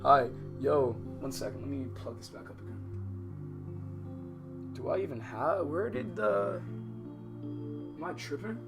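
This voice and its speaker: A man's voice: mid-range voice, lively intonation, measured pace, creaky, long pauses. A man livestreaming a visual novel, mixing casual, slangy, swearing chat with reading game text aloud, often exasperated. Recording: music behind the voice. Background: game music.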